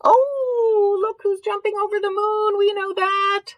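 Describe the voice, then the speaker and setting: Female storyteller for young children, slow delivery, informal asides and simple questions, dry recording. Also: very high voice, little pitch movement, no echo. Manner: questioning, musing aloud, explaining simply. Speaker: storyteller reading a picture book to children. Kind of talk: read-aloud with informal commentary to young children.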